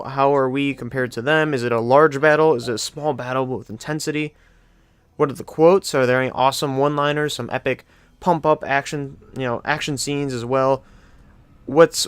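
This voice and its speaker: A man's voice: mid-range voice, a bit bright, smooth, dry recording. A young man hosting casual video game countdown commentary in an enthusiastic, effusive way. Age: young adult. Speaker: video game channel host.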